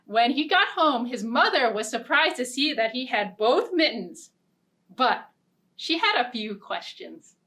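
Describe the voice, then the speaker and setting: Female children's librarian, storytime read-aloud. Unhurried, fairly high voice, playful and expressive for little kids. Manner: playful, expressive, simple talk addressed to small children.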